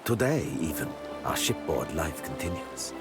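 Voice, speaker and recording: A courtly, calculating pirate captain for a game, very low in pitch. His phrasing is formal and elaborate, rising and falling in lively swoops. Loud music plays behind him. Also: normal speed. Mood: proud, measured. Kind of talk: video game character acting.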